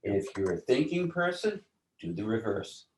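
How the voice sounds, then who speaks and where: A man explaining ideas as an interview guest in a deliberate, teacherly way, often posing rhetorical questions. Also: fairly low pitch, very animated, a little mellow. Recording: roomy, echoing voice.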